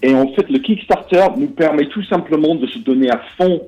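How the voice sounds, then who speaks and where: A man's voice: on the high side, fairly quick. A man from Britain with a British English accent, a board game publisher answering interview questions in French with many fillers and restarts. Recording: dull lo-fi audio.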